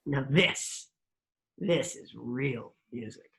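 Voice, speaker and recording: A woman's voice: rumbling low, very slow, creaky, fairly bright tone, heavily acted. A woman playing a fun-loving, wild brother in his twenties with a breezy, slangy manner, in a screenplay table read. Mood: blissful. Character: fun-loving, wild, laid-back 24-year-old brother.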